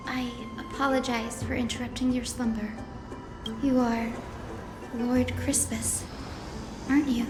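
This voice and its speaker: An actress playing a gentle, earnest young woman descended from sky people, polite and formal, in a fantasy video game. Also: high voice. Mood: respectful, earnest. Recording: echoey hall reverb, music underneath.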